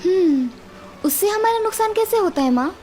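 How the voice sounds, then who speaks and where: A woman's voice: very high-pitched, animated, moderate pace, darkish tone, soft background music, pitched above the performer's own voice. A woman voicing a young village wife who is fed up with housework and complains sarcastically. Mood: questioning.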